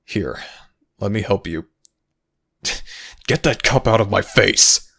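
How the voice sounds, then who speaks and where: Gravelly, stern Egyptian jackal god acted by a man. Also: on the low side, slow pace, pushed and bright, heavily acted. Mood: alarmed, stern. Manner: stern, formal, demanding, grudging. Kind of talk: dramatic ASMR audio roleplay.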